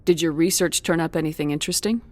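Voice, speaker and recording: An actress playing a tough, direct human military commander in a video game, blunt and matter-of-fact. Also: female voice, deep voice, quick pace, darkish tone, close to an everyday voice. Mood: direct, questioning. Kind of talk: scripted video game dialogue.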